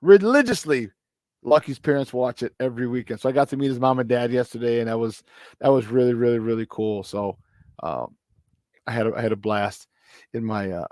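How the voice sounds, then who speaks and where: A man hosting a casual morning sports talk show, his voice a little hoarse, repeating words for emphasis and laughing often. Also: mid-range voice, fairly quick, a little mellow, dry recording, studio quality. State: slightly hoarse. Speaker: sports talk radio host.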